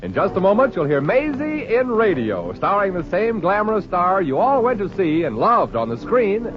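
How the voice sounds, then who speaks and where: A man's voice: high-pitched, wildly swooping pitch, normal speed. A man announcing a show in a theatrical, projected 1940s radio style. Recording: muffled vintage radio, loud music behind.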